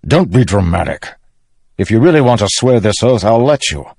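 Man playing stern weary older nobleman, low voice, firm, measured, fantasy audiobook, dry sound. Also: sing-song, vocal fry, darkish tone, slightly rough, dry recording, exaggerated voice. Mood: exasperated. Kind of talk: fantasy audiobook character acting.

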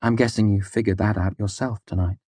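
A man's voice: fairly low pitch, steady tone, brisk, no echo, pitched above the performer's own voice, close to an everyday voice. A man voicing a worldly, tough but caring woman in an audiobook, with an arch, matter-of-fact, exasperated manner. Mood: frank, caring. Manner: matter-of-fact, arch, scolding yet gentle. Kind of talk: audiobook character dialogue.

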